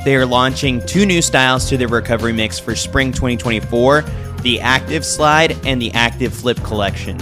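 A man's voice: medium pitch, moderate pace. Man narrating over music. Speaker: podcast host reading a newsletter. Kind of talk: scripted narration.

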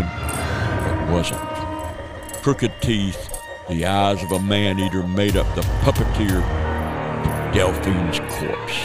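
A man narrating a horror audio drama in a dramatic, scripted storytelling style. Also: fairly low pitch.

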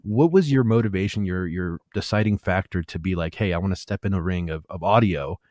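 A man in his late thirties with a lowish voice chats with a guest. He stumbles and repeats words as he goes, friendly and easygoing. The sound is dry and close. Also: fairly quick, a little mellow, no echo. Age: 30s. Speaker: youtube channel host, interviewer. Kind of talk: casual interview chat.

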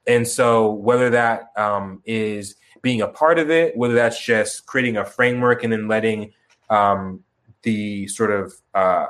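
A man's voice: lowish voice, steady tone, a little slow, a bit bright. Young guy rambling on a video call, keeps restarting, lots of ums, swears casually. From Chicago, grew up in Tucson. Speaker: musician guest. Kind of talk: casual interview talk.

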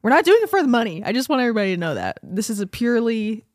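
A woman's voice: on the high side, sing-song, rapid-fire, no room sound, clean studio sound. A young woman co-hosting a casual podcast, playful and teasing, giggling often. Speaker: podcast co-host.

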